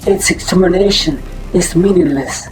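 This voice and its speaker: A man's voice: high-pitched, moderate pace, soft background music, natural voice, poor audio. A man voicing a vengeful elemental giant in a comic dub, grave and declamatory. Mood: cold menace. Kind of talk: dramatic comic dub acting.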